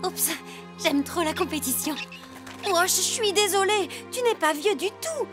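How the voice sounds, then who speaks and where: Excitable cartoon animal gal, high n swoopy, flustered, competitive. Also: female voice, unhurried, over music. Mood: flustered, apologetic. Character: excitable clawed female animal, competitive and apologetic. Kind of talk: animated children's cartoon dubbing.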